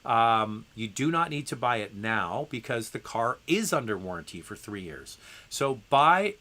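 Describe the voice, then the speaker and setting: Bright-voiced man with a stuffy head cold. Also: medium pitch, sing-song, unhurried. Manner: conversational, with frequent ums and restarts; reads viewer messages aloud. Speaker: host answering viewer questions. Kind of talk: live stream Q&A.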